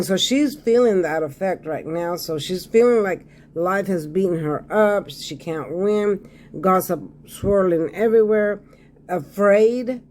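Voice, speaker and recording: A hoarse man giving a casual tarot reading to his viewers, repeating phrases and often checking in with "okay?". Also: sits high, a little mellow. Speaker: tarot card reader.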